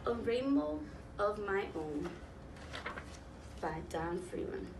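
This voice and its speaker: A woman in her thirties reading a picture book aloud to young children, pausing to explain and ask them questions. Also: medium pitch, big pitch swings, creaky. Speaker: volunteer children's story reader. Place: small classroom, indoors, seated on floor. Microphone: camera a few metres away. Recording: roomy, distant mic, noticeable background noise.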